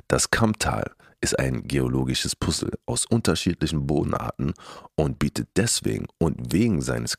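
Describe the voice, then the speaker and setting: A man with an extremely low voice full of vocal fry. He speaks as a podcast co-host, and the sound is very dry, with no room around it. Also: no room sound. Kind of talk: casual chat.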